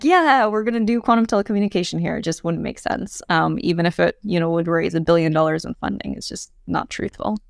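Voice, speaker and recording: A woman's voice: lowish voice, vocal fry, no room sound, clean studio sound. A woman in her thirties, a podcast guest, talking candidly and chattily with many fillers. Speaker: podcast guest, quantum computing founder. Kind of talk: casual interview chat.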